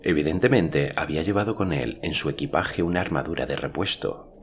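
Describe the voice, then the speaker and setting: A man's voice: extremely low pitch, a little hiss or hum. A man from narrating a novel as an audiobook, in scripted literary style. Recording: music clearly behind the voice.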